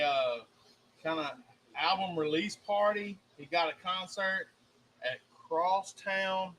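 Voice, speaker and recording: Bright-voiced Southern man, laughing storyteller. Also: on the high side, slight background noise, low-quality recording. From Mississippi. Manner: folksy storyteller, laughs often. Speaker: co-host. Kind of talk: casual chat.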